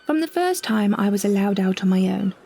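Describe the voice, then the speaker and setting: A woman reading a first-person literary story in a measured, descriptive way. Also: medium pitch, expressive, vocal fry, dark tone, husky. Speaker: narrator and performer of a short story.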